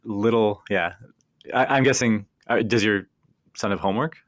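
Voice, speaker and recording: Male co-host, thirties, very low voice, loose rambling chat, dry mic. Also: moderate pace, dry recording. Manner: rambling, laughs easily. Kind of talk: casual chat.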